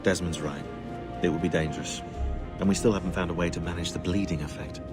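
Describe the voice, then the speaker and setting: An actor playing a cynical, opinionated British historian in a video game, speaking in an articulate, sardonic and indignant way. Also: male voice, low-pitched, fairly even intonation, fast, over music, natural voice. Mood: earnest. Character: cynical, opinionated male historian, argumentative and bitingly dry. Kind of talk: acted video game dialogue.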